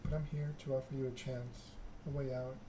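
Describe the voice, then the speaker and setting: Condescending vampire patroness, read by a man, formal game dialogue, even delivery, noisy background. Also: medium pitch, fairly quick, lightly acted. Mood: coolly bargaining. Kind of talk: ASMR reading of game dialogue.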